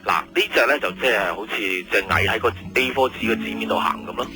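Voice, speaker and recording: A man acting an exuberant scientist from the future in a radio drama, with a Hong Kong Cantonese accent and an excitable way of explaining things simply. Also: lowish voice, a little fast, soft background music. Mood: eager explaining. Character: exuberant male scientist calling from twenty years ahead.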